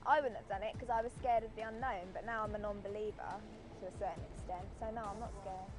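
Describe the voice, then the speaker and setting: A woman's voice: mid-range voice, fairly even intonation, quick pace, loud music behind the voice. A woman chatting casually in a playful, teasing way. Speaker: ghost-hunt team member. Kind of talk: casual chat.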